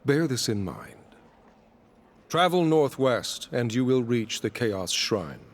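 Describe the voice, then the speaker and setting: An actor playing a stern royal official in a video game, formal and admonishing. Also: male voice, mid-range voice, big pitch swings, takes it slowly. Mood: stern, solemn. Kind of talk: video game cutscene acting.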